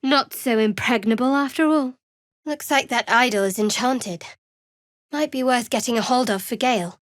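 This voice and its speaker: A young cleric of a dark goddess played by a woman speaks in a somewhat high female voice. She is guarded and sardonic, with measured, wry phrasing suited to a fantasy video game. The sound is very dry, with no room around it. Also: no echo, natural voice. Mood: dry, cool.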